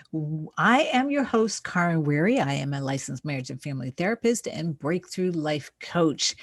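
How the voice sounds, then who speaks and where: A woman's voice: low-pitched, dry recording, studio quality. A woman hosting a self-help radio show, talking conversationally in an encouraging, emphatic way. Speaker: radio show host, therapist and life coach.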